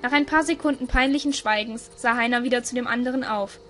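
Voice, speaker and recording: A woman's voice: a little high, fast, a bit bright, faint music behind. A woman reading scripted story narration for an audio drama.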